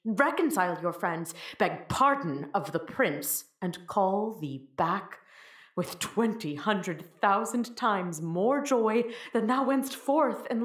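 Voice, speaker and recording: Woman as fatherly scolding friar, Shakespearean stage drama, high-pitched, slow declamation, emphatic. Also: a bit bright. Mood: firm, counselling. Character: stern, fatherly friar scolding and counselling, male role. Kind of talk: classical Shakespearean stage acting. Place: indoor performance space. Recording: echoey stage recording.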